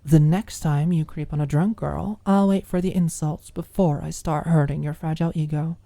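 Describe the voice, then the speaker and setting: A woman's voice: sits low, fairly even intonation, normal speed, gravelly, dark tone, no room sound. A woman voicing a cocky, combative young college student who talks in a blunt, mocking way.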